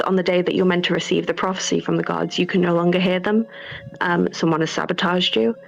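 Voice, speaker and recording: A woman with a fairly low, creaky voice chats casually in an interview. She is modest and a little rambling, on a slightly muffled call with music behind. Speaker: guest game developer.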